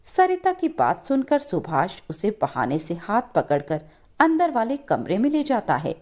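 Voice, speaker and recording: Animated female moral-story narrator. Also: mid-range voice, a little slow.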